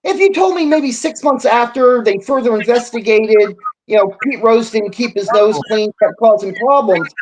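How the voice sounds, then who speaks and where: A man's voice: very high voice, normal speed. Man debating over a slightly noisy line. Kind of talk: casual sports debate.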